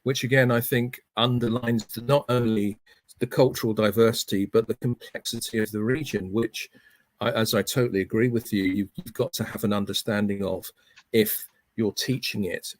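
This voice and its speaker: Earnest low-voiced man, dry sound. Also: steady tone, moderate pace, dry recording. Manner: earnest, emphatic, lecturing. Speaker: academic panelist. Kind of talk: online panel discussion.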